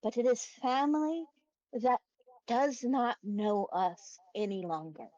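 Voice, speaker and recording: A woman voicing a small, solemn rodent teacher who speaks in measured, formal, fatherly phrases in a tabletop game. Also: fairly high pitch, lively intonation, darkish tone, no room sound, dull audio. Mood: solemn, regretful.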